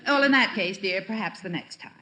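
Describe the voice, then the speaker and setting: Actress as a wry American mother, animated, noisy recording. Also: mid-range voice, fairly quick, close to an everyday voice. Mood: wry, polite. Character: wry, skeptical middle-aged American mother. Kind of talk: 1940s radio sitcom acting. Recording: noisy old radio recording.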